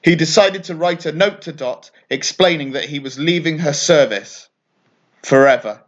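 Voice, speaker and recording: A man reading a children's bedtime story aloud as an expressive narrator. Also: a little high, normal speed, dull audio.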